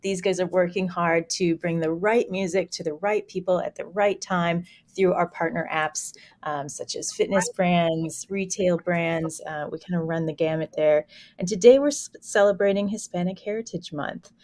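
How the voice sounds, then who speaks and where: A woman's voice: fairly low pitch, fairly even intonation, moderate pace, a little mellow. A woman hosting a casual panel, introducing the team and the discussion. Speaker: host.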